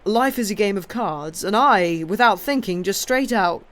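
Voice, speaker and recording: A reader voicing a jovial, blustering middle-aged husband with a loud, hearty manner. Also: medium pitch, expressive, moderate pace, voice pushed up, lightly acted. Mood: boastful.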